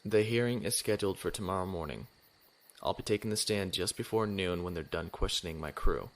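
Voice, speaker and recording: Low-voiced, formal young space navy lieutenant. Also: male voice, brisk. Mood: firm, reserved. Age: 20s. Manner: formal, restrained. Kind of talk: science fiction audio drama acting.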